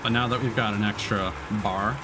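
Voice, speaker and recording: A man's voice: fairly low pitch, fairly even intonation, normal speed, music in the background. A man doing casual live gaming commentary, thinking aloud and chatting to his viewers. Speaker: livestreamer, gamer.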